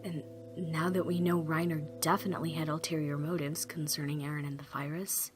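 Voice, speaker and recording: A woman acting a serious, guarded young survivor in a zombie audio drama, speaking in a measured, deliberate way. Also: deep voice, little pitch movement, noisy background, natural voice. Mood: grave, measured.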